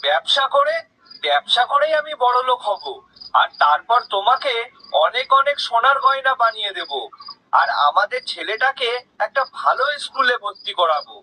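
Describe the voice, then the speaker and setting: A crooked, greedy village shopkeeper from a cartoon, acted by a man. His voice sits extremely high, with a hard, piercing edge and a husky grain. He wheedles and blusters like a born cheat. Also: expressive, moderate pace, sharp tone, heavily acted, rough recording. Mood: eager, boastful. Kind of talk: cartoon character acting.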